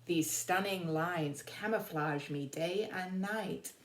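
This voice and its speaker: A woman voicing a proud, pleading zebra in measured, sing-song picture-book verse. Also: fairly low pitch. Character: proud striped zebra pleading to be left alone. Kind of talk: children's picture-book poem reading. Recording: roomy, echoing sound.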